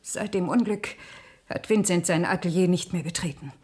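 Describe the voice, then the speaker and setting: Husky, solemn, protective wife. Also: female voice, lowish voice, moderate pace, darkish tone. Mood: grave, worried. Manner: insistent, melodramatic. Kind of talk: 1980s German radio crime drama acting.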